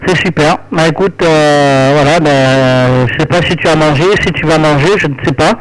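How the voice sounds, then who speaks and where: Man, slightly high voice; relaxed ham radio chat, friendly and rambling. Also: moderate pace, a little hiss or hum, low-quality recording. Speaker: amateur radio operator. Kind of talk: casual ham radio conversation. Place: at home at night.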